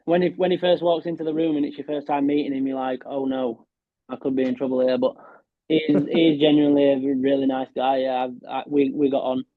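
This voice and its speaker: A man's voice: mid-range voice, little pitch movement, a little fast, no echo. A man with a Yorkshire accent, a professional darts player, chatting modestly as a guest on a podcast, with lots of restarts. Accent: Yorkshire English. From Yorkshire, England. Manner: chatty, modest, frequent restarts and "were" for "was". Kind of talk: casual podcast interview. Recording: tinny phone-like line, dry.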